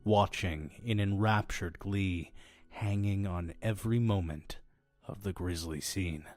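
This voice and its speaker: Theatrical, sardonic innkeeper storyteller over music. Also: male voice, low voice, a little mellow. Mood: ominous, hushed. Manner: dramatic, sardonic, deliberate phrasing. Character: fussy, exasperated male innkeeper telling dark horror tales. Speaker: storytelling host of a horror anthology. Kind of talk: theatrical scripted audio-drama narration.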